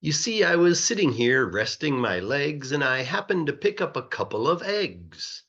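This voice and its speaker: A man reading a children's rhyming story aloud in a playful, rhythmic, expressive way, like a teacher. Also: fairly high pitch, moderate pace, muffled recording. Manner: animated, playful, rhythmic verse delivery.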